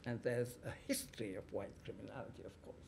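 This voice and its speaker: A middle-aged man, an academic, speaking freely to an audience in a small room, animated and emphatic, with some ums. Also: fairly low pitch, quick pace, gravelly, a little hiss or hum. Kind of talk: public talk, speaking freely. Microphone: stand mic, filmed from the audience.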